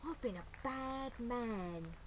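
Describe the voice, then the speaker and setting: A woman's voice: a little high, lively intonation, takes it slowly, noisy background, voice pushed up. A woman voicing a simple, good-natured working-class man burglar in a storybook, earnest and ungrammatical. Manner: colloquial, ungrammatical, earnest speech.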